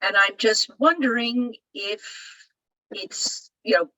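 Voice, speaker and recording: A woman casually asking a question on a video call from home, halting and tentative. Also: fairly high pitch, bright, forceful tone, rough recording. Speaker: audience member asking a question.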